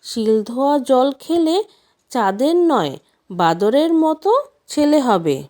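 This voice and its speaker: Scheming elder queens from a storybook, put on by a woman narrator, sly and spiteful under false agreement. The voice is high and swings in pitch. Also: sing-song, slow, dark tone, exaggerated voice.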